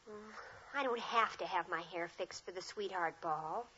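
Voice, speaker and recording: An actress playing a bossy, earnest teenage big sister in a 1950s radio sitcom. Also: female voice, fairly low pitch, normal speed, sharp tone, slightly rough, full character voice. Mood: insistent. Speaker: actor in a radio family sitcom. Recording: dull old radio recording.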